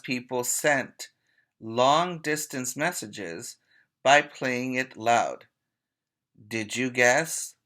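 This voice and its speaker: A man teaching preschoolers by reading aloud in a deliberate, emphatic way, pausing to put questions to his young listeners. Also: mid-range voice, sharp tone. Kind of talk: read-aloud lesson for young children.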